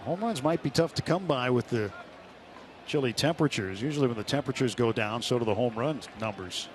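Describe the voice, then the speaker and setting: A man calling baseball play-by-play live on the radio in a measured, descriptive way. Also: medium pitch, lively intonation. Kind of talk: live sports commentary. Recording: clear stadium noise behind live broadcast. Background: outdoor ballpark ambience.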